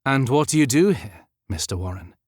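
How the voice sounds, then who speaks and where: A man voicing a bright, eager, upper-class young Victorian gentleman in an audiobook, with an airy, polite and languid manner. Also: mid-range voice, expressive, moderate pace, dry recording, studio quality, natural voice. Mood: curious, languid.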